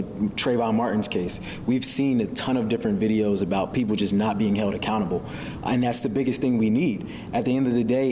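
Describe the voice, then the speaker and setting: A male panel guest speaks in a dark, mellow, fairly low voice. He talks conversationally, with long reverb and a faint rumble behind him.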